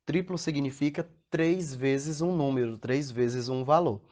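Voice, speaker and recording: A man's voice: medium pitch, unhurried, darkish tone. Patient Brazilian male math teacher. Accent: Brazilian Portuguese. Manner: patient and explanatory, asks rhetorical questions, checks in with "tá?". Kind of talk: recorded classroom lesson.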